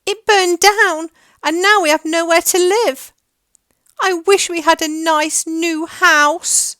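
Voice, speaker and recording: A woman voicing an upset little mother mouse in a storybook, squeaky and plaintive. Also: fairly even intonation, slow pace, bright, forceful tone, no room sound, clean studio sound, exaggerated voice.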